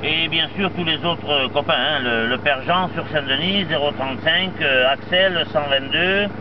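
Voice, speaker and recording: A muffled, noisy two-way radio signal carries a man with a distinctly high voice. He chats casually as a radio hobbyist, talkative and dropping operator jargon as he goes. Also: normal speed. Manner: chatty, peppers talk with radio jargon. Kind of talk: casual radio contact chat.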